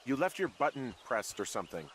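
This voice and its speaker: An actor playing a guarded, dry-humoured fire lookout in a video game, speaking in a laconic, understated way. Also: male voice, medium pitch, sing-song, fairly quick, fairly bright tone, a little hiss or hum. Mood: suspicious. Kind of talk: naturalistic video game dialogue.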